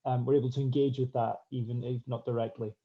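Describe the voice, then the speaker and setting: A man speaking as an actor in a post-show panel discussion, earnest, articulate and measured. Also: mid-range voice, flat delivery. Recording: dull, lo-fi muffled audio.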